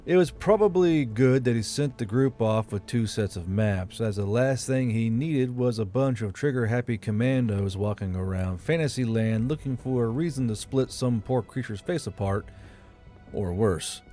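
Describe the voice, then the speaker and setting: A man's voice: mid-range voice, normal speed, dark tone. A man reading military fantasy fiction as a scripted audiobook narration. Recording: music under the voice.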